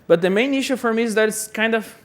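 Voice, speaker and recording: A man's voice: sits high, fast. A man from Brazil giving a chatty, self-deprecating conference talk, often repeating words as he goes. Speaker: conference speaker. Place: conference hall.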